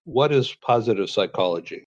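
A man hosting an online radio talk show, introducing a guest and asking questions in a formal, measured way. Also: on the low side, expressive, no echo, dull audio. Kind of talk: scripted introduction, interview questions. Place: San Diego.

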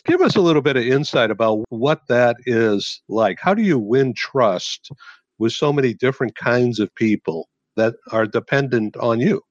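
A man's voice: mid-range voice, lively intonation, moderate pace, no room sound, muffled recording. A man hosting an interview, asking friendly, leading questions of his guest. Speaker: interview host. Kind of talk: interview conversation.

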